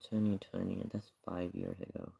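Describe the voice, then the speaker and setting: Gravelly low-voiced man musing offhand. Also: little pitch movement, moderate pace, dark tone, dull audio. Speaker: streamer reacting to a video. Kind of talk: casual reaction commentary.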